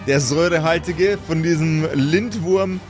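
A man with a slightly high voice runs a tabletop role-playing game as its narrator. He describes scenes in detail, leaning on repetition for emphasis, while soft music plays underneath. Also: a little slow. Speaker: game master.

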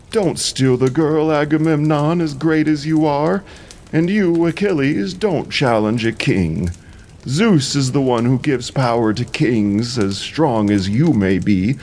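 An aged Greek elder in an epic audio drama, with a raised voice and a very slow, grave delivery. He counsels and scolds wearily, with some background ambience noise. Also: on the high side, darkish tone, pitched above the performer's own voice. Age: older. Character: wise old male Greek king, weary and admonishing.

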